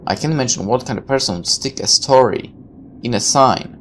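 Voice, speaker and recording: Very low-voiced woman reading a game aloud. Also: fairly quick, a little hiss or hum. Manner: irreverent, sarcastic asides. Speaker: let's player reading a visual novel aloud. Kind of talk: game playthrough, reading text aloud with offhand commentary.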